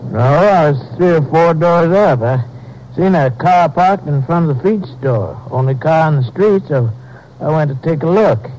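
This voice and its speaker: Man playing a higher-pitched folksy night watchman. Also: lively intonation, darkish tone, natural voice. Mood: earnest, recounting. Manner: folksy, halting, rural speech. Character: folksy, shaken but obliging night watchman. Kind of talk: 1950s radio drama acting. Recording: noisy old radio broadcast.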